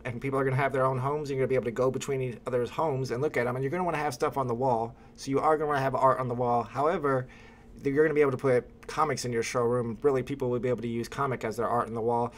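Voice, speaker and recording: Fast-talking young man hosting a livestream. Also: mid-range voice, fairly even intonation. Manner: quick, reads viewer comments aloud. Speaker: livestream host. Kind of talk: casual livestream chat.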